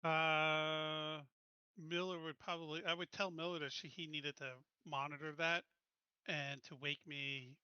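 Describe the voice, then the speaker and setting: A man with a fairly high, very bright and sharp voice plays in a tabletop roleplaying game. He talks casually through his moves. The sound is dry and a little lo-fi. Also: level tone, unhurried, smooth, dry recording.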